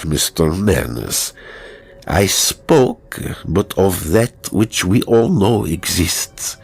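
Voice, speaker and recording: Slow, menacing Russian villain. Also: male voice, deep voice, vocal fry, husky, exaggerated voice. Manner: slow, deliberate, insinuating menace. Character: suave Russian man turned snarling, menacing villain.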